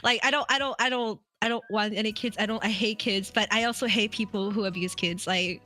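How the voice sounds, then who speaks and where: A woman's voice: medium pitch, steady tone, a little fast, bright, forceful tone, faint music behind. A woman in casual stream chat, rambling with many restarts and giving blunt opinions. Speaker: streamer co-host.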